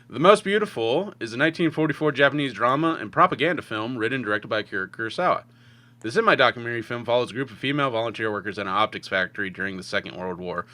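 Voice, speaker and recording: Male co-host, bright forceful voice, medium pitch, conversational film talk, dry recording. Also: no room sound. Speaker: podcast co-host. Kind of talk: casual film review podcast chat.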